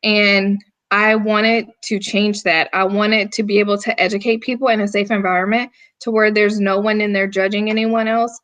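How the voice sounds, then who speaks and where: Guest: Southern woman, 30s, relaxed, mid-pitched, casual interview answers. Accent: Southern American. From North Carolina. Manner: laid-back, unhurried. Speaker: guest, firearms instructor.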